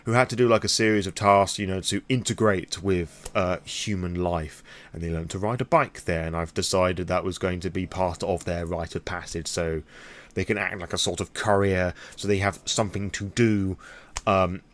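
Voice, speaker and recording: A man's voice: deep voice, fairly even intonation, normal speed. A British man talking casually and candidly in an impromptu vlog, rambling with self-deprecating asides and some swearing. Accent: British English. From England. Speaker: YouTube vlogger on tabletop roleplaying games.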